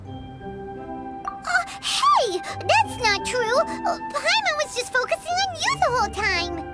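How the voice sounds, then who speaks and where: A tiny flying fairy sidekick from an anime-style game, squeaky and childlike. The pitch is extremely high and swings in a lively way, full of flustered indignation, with prominent game music behind it. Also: female voice, takes it slowly, full character voice. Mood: indignant. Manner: refers to self by name, flustered exclamations.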